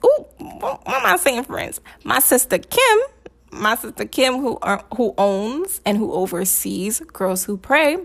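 A woman's voice: on the high side, creaky, a bit bright. A young woman telling a personal faith testimony in a chatty, slangy way, repeating phrases for emphasis and preaching casually as she goes. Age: young adult.

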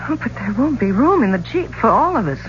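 An actress playing an excitable but wary young woman in a 1970s radio drama, speaking with wonder in halting phrases. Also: fairly high pitch. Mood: anxious. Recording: muffled, noisy old radio recording.

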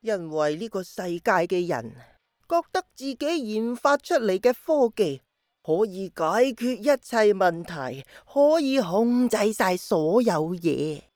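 Slow, gentle old grandmother. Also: medium pitch, very animated, no room sound, clean studio sound. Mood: patient, explaining. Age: older. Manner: slow, sighing, patient explaining. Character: gentle wistful old grandmother, teaching her young grandson. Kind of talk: Cantonese radio drama acting.

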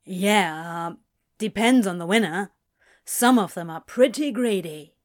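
A woman voicing a sardonic, flirtatious male demon, drawling her lines a little lower than her narration. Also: measured pace, natural voice. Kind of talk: audiobook reading of fan fiction.

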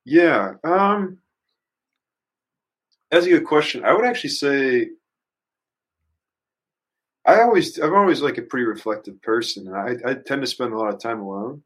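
A man's voice: medium pitch, lively intonation, normal speed, long pauses, slightly rough. A man in his early twenties chatting casually and reflectively as a musician guest, rambling thoughtfully with youthful slang. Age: early 20s. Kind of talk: casual reflective interview chat.

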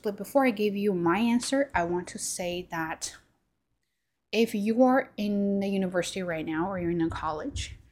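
A young woman whose first language is Chinese, talking solo on her podcast in a reflective, loose way with fillers and self-corrections. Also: lowish voice. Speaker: podcast host. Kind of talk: casual solo talk.

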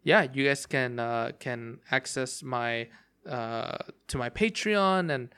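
Young male podcaster, bright, rambling casual delivery, voice worn and cracking. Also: mid-range voice, slow pace, clean tone. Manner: rambling, many fillers and restarts. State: voice cracking from long talking. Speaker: podcast host. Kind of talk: casual solo game talk.

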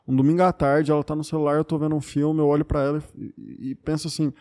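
A young man with a Brazilian Portuguese accent, co-hosting a casual podcast chat and telling stories in an animated, reflective way, often repeating himself. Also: a little high, fast talker. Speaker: podcast co-host.

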